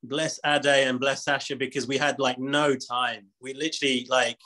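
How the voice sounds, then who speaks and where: A man's voice: medium pitch, little pitch movement, moderate pace, bright, forceful tone. A young man, a film director, chatting on a video call in a rambling, self-deprecating way with lots of fillers. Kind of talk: casual chat in a video call Q&A.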